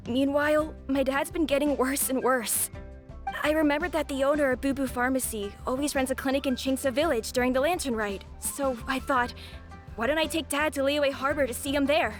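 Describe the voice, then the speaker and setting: A woman's voice: sits high, a little fast, faint music behind, lightly acted. A woman voicing a young boy in a game who is earnestly looking for his lost father. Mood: worried. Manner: earnest, worried. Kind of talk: game voice acting.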